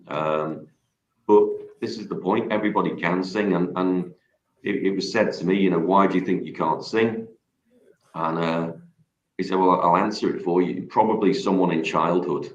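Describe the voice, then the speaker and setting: A man with a deep voice, chatting casually as a guest singer, modest and rambling with many restarts. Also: echoey room, dull audio. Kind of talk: casual radio chat.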